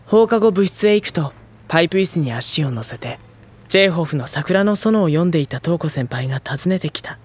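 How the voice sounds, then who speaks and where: An actor playing a gentle, polite teenage schoolboy who narrates and speaks in an audio drama, soft and reflective. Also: mid-range voice, lively intonation, fairly quick, husky.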